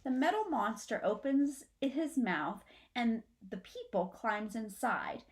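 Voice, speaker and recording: A woman's voice: fairly high pitch, animated, slow. A middle-aged woman reading a children's story aloud expressively, like a teacher, in a room at home. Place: small furnished room at home, indoors.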